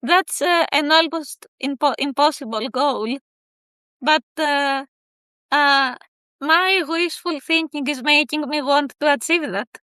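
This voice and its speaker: A high-pitched woman whose native tongue is Greek answers questions about her poetry, pausing often and filling gaps with soft hesitations. Her voice is bright and clear, recorded close and dry with no room sound. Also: no echo. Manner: thoughtful, hesitant with many fillers. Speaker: poet guest. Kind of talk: casual interview answers.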